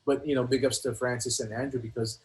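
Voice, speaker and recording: A middle-aged man reminiscing casually as an interview guest, in a halting, slangy way that often doubles back on words. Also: medium pitch, level tone, darkish tone. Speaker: guest, rapper.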